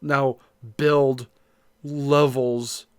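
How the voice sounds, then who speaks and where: A man chats casually as a podcast co-host at a middling pitch. He feels his way through his thoughts, rephrasing as he goes. The sound is dry, with very little room echo. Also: flat delivery, drawn-out words, clear, resonant tone.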